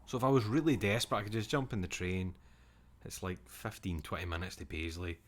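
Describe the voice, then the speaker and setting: A young man with a Scottish accent rambles through relaxed stream chatter. His voice sits very low, and he drops in casual swearing as he talks. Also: brisk. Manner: rambling, swears casually, reads chat aloud.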